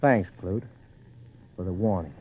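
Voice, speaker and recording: An actor playing a determined young lawyer, polite but stubborn and crisply spoken, in 1940s radio drama style. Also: male voice, sits low, a little slow, narrow, tinny audio, faint music behind. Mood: polite, firm. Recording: thin, band-limited 1940s radio recording.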